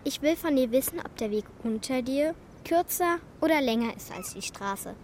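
A woman's voice: high voice, expressive, moderate pace, a little mellow, slight background noise. A woman playing an earnest, stubborn young girl in a children's radio play, talking in an insistent, pleading way.

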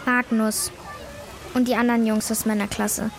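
A woman's voice: a little high, flat delivery, normal speed, creaky, over music. A woman playing an outspoken, chatty young schoolgirl in a German children's radio play. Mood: upset. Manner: chatty, plain-spoken, childlike. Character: outspoken schoolgirl telling police about her friend.

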